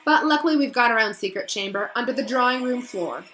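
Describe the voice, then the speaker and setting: A woman voicing an arrogant, petulant schoolboy bully in a storybook, with a slow disdainful drawl that turns snappish. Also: a little high, expressive, a bit bright, soft background music, voice pushed up. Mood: smug.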